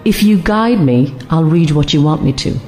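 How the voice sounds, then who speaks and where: A woman playing a polite, composed telepath in an audio drama, measured and courteous. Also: deep voice, lively intonation, warm tone, soft background music.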